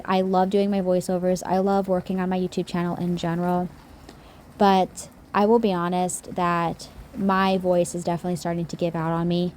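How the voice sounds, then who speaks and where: A woman's voice: lowish voice, darkish tone. A woman recording a casual nail-art video voiceover. Her voice is slightly scratchy from a sore throat, and she talks in a chatty, rambling way with frequent restarts.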